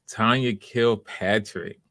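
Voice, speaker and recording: Male livestream host, lower-pitched voice, close dry sound; casual, welcoming, encouraging, speaks off the cuff with halting phrasing. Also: fairly even intonation, gravelly, fairly bright tone, dry recording. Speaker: host of live online voice auditions, writer. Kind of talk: casual livestream chat, greeting viewers by name.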